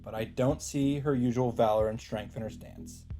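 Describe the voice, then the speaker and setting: Mellow man reading a story aloud. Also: mid-range voice, fairly even intonation, moderate pace. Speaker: let's play host reading the narration. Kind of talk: story narration read aloud. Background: faint game music.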